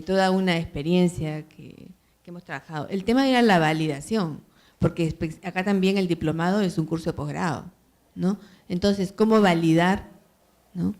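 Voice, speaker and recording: Soft-toned woman on a panel. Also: lowish voice. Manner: hesitant, many fillers and tag questions. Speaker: panelist, university program organizer. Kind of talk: panel discussion answer.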